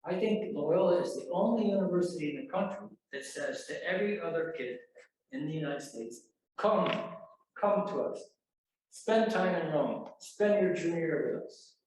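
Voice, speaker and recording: A man's voice: on the high side, darkish tone, a little hiss or hum. A man in his seventies, an alumnus photographer giving a chatty, self-deprecating speech to an audience at a university event. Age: 70s. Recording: very echoey hall, mic far off.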